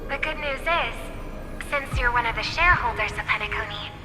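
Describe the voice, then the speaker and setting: A woman's voice: fairly high pitch, a little fast, natural voice. A woman voicing a gracious, earnest young envoy in a video game, speaking politely and formally. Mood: earnest, apologetic. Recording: long reverb, loud music behind.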